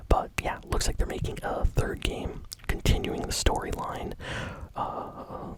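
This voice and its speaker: An ASMR host shares gaming news entirely in a soft whisper, with no voiced tone. The phrasing is informal and a little hesitant, yet keen and enthusiastic. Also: a little slow. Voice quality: soft unvoiced whisper throughout.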